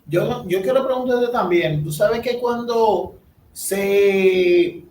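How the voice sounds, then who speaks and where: A man hosting an interview, deliberately laying out context as he builds up to his questions, recorded in a roomy space away from the microphone. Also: sits high, darkish tone. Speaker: host interviewer. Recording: roomy, distant mic, faint noise.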